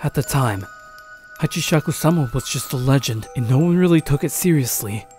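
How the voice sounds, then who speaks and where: A stern old village grandfather, played by a man in a horror audio drama. His voice is mellow and mid-pitched, with solemn, deliberate delivery over faint music. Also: animated, lightly acted. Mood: grave.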